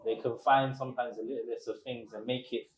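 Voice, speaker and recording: A man, a restaurant owner answering interview questions in a relaxed, measured way with many pauses. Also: mid-range voice. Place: small restaurant. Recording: roomy echo.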